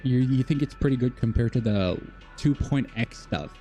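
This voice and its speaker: A man's voice: medium pitch, normal speed, dark tone, soft background music. A man live streaming a video game, chatting casually with viewers and thinking aloud in a rambling, repetitive way.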